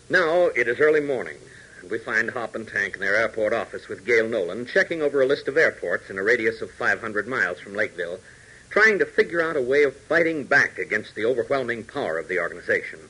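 An American man announcing and narrating a 1940s radio serial in an emphatic, dramatic, husky voice. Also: mid-range voice, sharp tone, low-quality recording.

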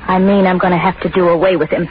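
An actress with an American accent playing a scheming, insistent wife in a 1940s radio thriller, pressing and coaxing in a dramatic manner. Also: female voice, lowish voice, little pitch movement, fast, close to an everyday voice. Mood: grimly determined. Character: scheming, manipulative femme fatale wife, hard and insistent. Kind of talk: 1940s radio suspense acting. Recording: muffled, very noisy 1940s radio recording.

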